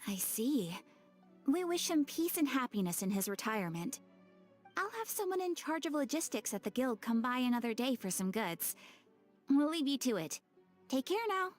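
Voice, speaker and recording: A woman playing a polite, curious young adventurer in a video game, courteous and expressive. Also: high voice, moderate pace. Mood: friendly, curious. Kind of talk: scripted game character dialogue. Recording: faint music behind.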